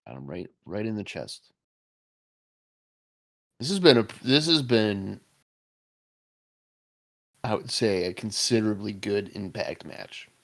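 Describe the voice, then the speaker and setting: A man with a low, gravelly voice that swings widely in pitch. He fires off excitable jokes and casual curses while watching wrestling with his podcast co-host. It stays loose, quick-witted chat. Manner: excitable, jokey reactions with swearing.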